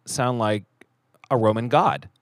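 A man's voice: medium pitch, a little slow, no echo, clean studio sound. A man hosting a podcast in casual banter, rambling and self-deprecating, sometimes mumbling as his sentences trail off. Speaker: podcast host.

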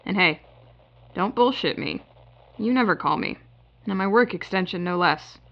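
A woman's voice: mid-range voice, expressive, moderate pace. A woman acting in an audio drama as a harried, polite but wry retail floor manager. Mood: wry, teasing. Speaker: actor. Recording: muffled, noisy hidden-bug recording with shop ambience.

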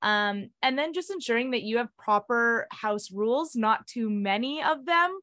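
A woman's voice: on the high side, lively intonation, normal speed, bright, forceful tone, dry recording, studio quality. A woman guest explaining how she ran room rentals, in a practical, casual way with many fillers. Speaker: guest, short-term rental host. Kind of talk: casual interview answers.

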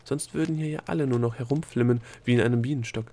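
A man voicing a prim, bureaucratic female thought-creature in a storybook, with a haughty, formal and measured manner. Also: mid-range voice, mellow, slight background noise, close to an everyday voice. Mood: dismissive. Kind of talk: storybook character dialogue.

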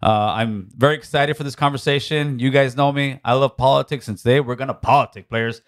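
A man's voice: medium pitch, fairly even intonation, a little fast. A man hosting a live podcast in casual, slangy talk, full of restarts and friendly banter. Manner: loose, slangy, upbeat with frequent restarts. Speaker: podcast host. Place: podcast studio.

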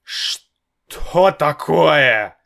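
Brief: hot-tempered father, exasperated and loud, drawn-out lines, played by a male reader. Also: fairly high pitch, very animated, voice pushed up, full character voice. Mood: agitated. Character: nervous, hot-tempered father, shouting and hissing. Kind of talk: storybook reading.

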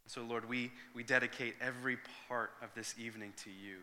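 Pastor preaching in a reverberant hall. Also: male voice, medium pitch, steady tone, a little fast, pushed and bright. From Northern Ireland. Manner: earnest, conversational, with frequent restarts and fillers. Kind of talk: sermon with prayer and personal story.

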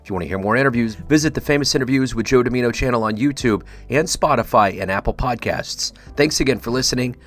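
A male announcer delivering a fast, scripted podcast outro in a promotional tone. His voice sits at a middle pitch, and light music plays underneath him. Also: a bit bright. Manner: brisk promotional read. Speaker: show announcer.

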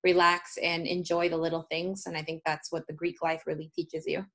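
A woman's voice: low-pitched, flat delivery, brisk, a bit bright. A woman in her thirties with an American accent, speaking as a guest in a casual interview, telling things in a chatty, informal way. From United States (Seattle area).

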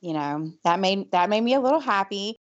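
A woman with a Southern US accent co-hosting a casual podcast, chatty and quick to laugh. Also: medium pitch, expressive, dull audio. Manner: laughs easily, chatty with fillers. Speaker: podcast co-host. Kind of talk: casual chat.

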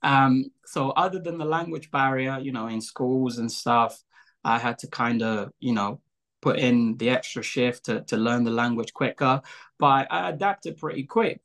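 A man's voice: medium pitch, normal speed, a bit bright. Chatty Angolan-born man, rambling. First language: Portuguese. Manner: chatty, rambling, frequent fillers and laughs. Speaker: guest, show creator. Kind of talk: casual interview talk.

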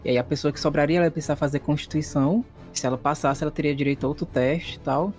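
A man's voice: a little high, fairly even intonation, quick pace, a little mellow, soft background music. A man with a Brazilian accent running a tabletop horror game, moving between dramatic narration and casual refereeing. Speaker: game master.

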